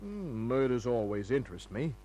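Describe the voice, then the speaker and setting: An actor playing a hard-boiled private detective, laconic, breezy and sardonic, in the manner of old-time radio drama. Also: male voice, medium pitch, sing-song, moderate pace, dark tone. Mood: casual, needling. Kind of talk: old-time radio acting and first-person narration. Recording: noisy humming old radio recording.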